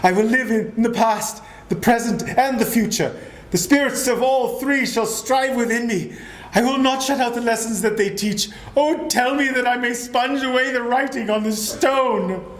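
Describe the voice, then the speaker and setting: A man's voice: very high-pitched, moderate pace, a bit bright. A man performing a theatrical dramatic reading as an old miser who has repented and turned effusive and generous. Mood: desperate pleading. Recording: echoey room with clear background noise.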